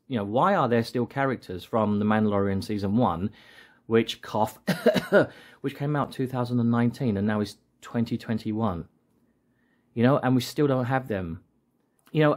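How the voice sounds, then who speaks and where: A man's voice: fairly low pitch, darkish tone. Rambling man in his late forties. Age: 40s. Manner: rambling, jokey, often restarting sentences. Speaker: action figure seller and channel host. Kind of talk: casual vlog rant.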